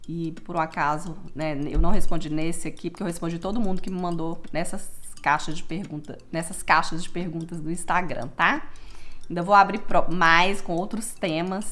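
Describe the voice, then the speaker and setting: A woman's voice: fairly low pitch, brisk, fairly bright tone, soft background music. A young Brazilian woman with a Brazilian Portuguese accent, chatting casually in a Q&A vlog and tagging her sentences with little checks to the viewer. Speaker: vlogger living in Texas.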